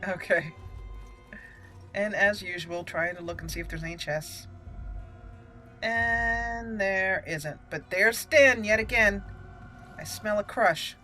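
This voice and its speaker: A woman's voice: mid-range voice, slow pace, long pauses, pushed and bright. A woman hosting a let's play speaks casually and playfully into a close mic. She mutters to herself, amused, and at times reads out in character, with faint game music behind her. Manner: playful, amused, talks to self. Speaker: gaming channel host.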